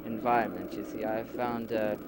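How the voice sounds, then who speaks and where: Man acting as an earnest, halting leader, very noisy recording. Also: lowish voice, steady tone, gravelly. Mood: earnest, explanatory. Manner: halting, explanatory, formal wording with many hesitations. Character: earnest male leader explaining his new afterlife society. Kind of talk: amateur philosophical audio drama dialogue. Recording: noisy homemade 1990s amateur recording.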